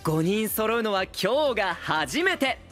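A man's voice: high-pitched, very animated, unhurried, faint music behind, heavily acted. A male actor playing a polite, princely young idol who hosts a stage event in an audio drama. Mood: gracious. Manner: courteous, smooth, gently chiding. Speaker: stage host.

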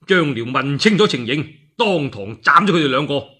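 Animated, husky male Cantonese storyteller. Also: fairly high pitch, moderate pace. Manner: animated, colloquial, acts out dialogue. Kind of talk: dramatic solo radio storytelling of a historical novel.

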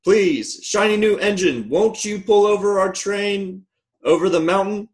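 A man voicing a funny little toy clown from a storybook, eager and imploring. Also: unhurried. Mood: pleading. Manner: high, excited, imploring calls.

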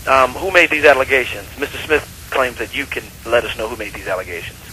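Firm, bright-voiced man on the phone. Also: medium pitch, brisk. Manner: firm, questioning. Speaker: church phone answerer. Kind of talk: phone conversation. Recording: slightly noisy phone call recording.

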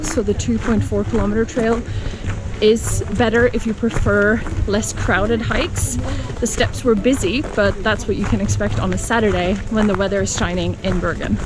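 A woman in her thirties, a travel vlogger, talking to a handheld camera outdoors on a mountain trail in an upbeat, guiding way. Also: on the high side. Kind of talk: casual vlog narration to camera. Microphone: camera held at arm's length.

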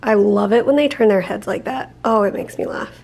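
Breathy woman vlogging in her kitchen. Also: mid-range voice, a little fast. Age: 30s. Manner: chatty, animated, says "like" a lot. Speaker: vlog co-host. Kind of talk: casual vlog chat. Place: home kitchen. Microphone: handheld camera at arm's length.

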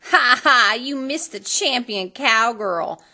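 A woman voicing a snooty young stepsister in a western storybook, taunting with drawn-out words. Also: on the high side, lively intonation, bright, forceful tone, pitched above the performer's own voice, heavily acted.

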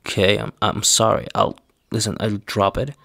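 A man playing a tender, anxious young boyfriend in a roleplay ASMR audio, husky and halting in his speech. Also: fairly low pitch, level tone, no room sound, studio quality. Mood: pleading. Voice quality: husky, gravelly. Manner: halting, hesitant, soft-spoken, sighing.

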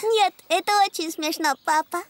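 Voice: extremely high pitch, normal speed, heavy background noise. A performer voicing a cheeky, cheerful little girl piglet in a children's cartoon, childlike and playful. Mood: amused, cheeky.

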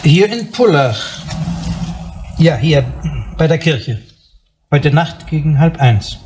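An actor playing a formal police investigator in a German crime radio drama, questioning in a businesslike, insistent way. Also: male voice, a little high, lively intonation, slow, a little mellow, slight background noise. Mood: probing.

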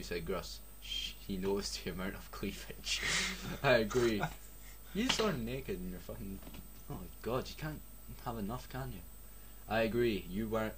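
A man's voice: fairly low pitch, a bit bright, a little hiss or hum. Sarcastic Scottish man reading aloud. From Scotland. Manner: sarcastic, swears a lot, breaks off to joke. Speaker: reader. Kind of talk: mocking comedic reading of a story.

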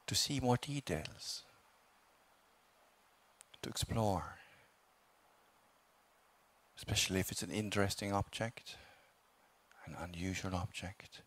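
A man with a low-pitched voice teaches meditation. He speaks calmly and without hurry, giving gentle instructions in short phrases and leaving long pauses between them. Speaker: meditation teacher, Buddhist monk.

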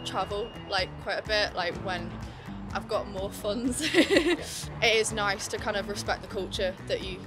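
A woman's voice: medium pitch, unhurried. Casual young woman in a street interview. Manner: casual, chatty. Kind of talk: casual street vox pop. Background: music.

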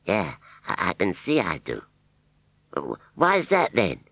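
A man's voice: low voice, wildly swooping pitch, vocal fry, fairly bright tone, slightly rough, no room sound, pitched above the performer's own voice, exaggerated voice. A man voicing a simple, bumbling male scarecrow in a storybook reading, speaking in a rustic country dialect and stammering as he goes. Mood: shy, friendly. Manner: slow, hesitant, stammering.

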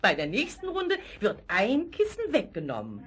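A woman's voice: fairly high pitch, wildly swooping pitch, normal speed, a crowd in the background. Motherly old aunt Prudentia. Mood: patient. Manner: patient, explaining. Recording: vinyl children's audio drama.

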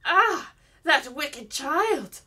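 A woman voicing a wicked old enchantress, loud, mocking and venomous. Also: high-pitched, sing-song, extremely slow, pitched above the performer's own voice, heavily acted. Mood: outraged.

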